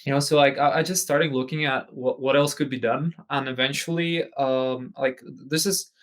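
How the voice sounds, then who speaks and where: A man's voice: mid-range voice, steady tone, normal speed. Young man with an Eastern European accent. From Czech Republic, raised in Spain. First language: Czech and Spanish. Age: 20s. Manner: rambling, many restarts and fillers. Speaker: guest. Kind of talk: casual interview. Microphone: audio-only remote call.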